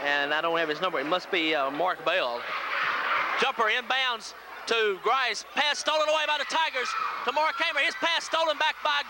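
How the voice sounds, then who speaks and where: High-pitched man calling basketball on radio. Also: moderate pace. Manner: clipped short phrases, excited on big plays. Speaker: radio play-by-play announcer. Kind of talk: live basketball play-by-play commentary. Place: high school gym. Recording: noisy 1980s local FM radio audio from VHS. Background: loud crowd, bouncing ball, gym noise.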